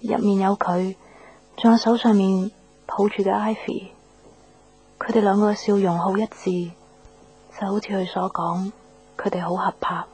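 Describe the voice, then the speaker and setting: An actress playing a wistful young woman in a radio drama, reflective and soft in manner. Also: medium pitch, little pitch movement, moderate pace, long pauses, husky, low-quality recording. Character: wistful young woman still attached to old love. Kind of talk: Cantonese radio drama narration and dialogue. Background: background music.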